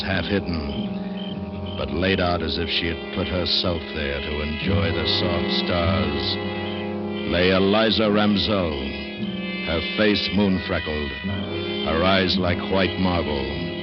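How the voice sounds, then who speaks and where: A man narrating a horror tale in old-time radio style, grave, measured and suspenseful. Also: deep voice. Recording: muffled old radio recording. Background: loud dramatic music.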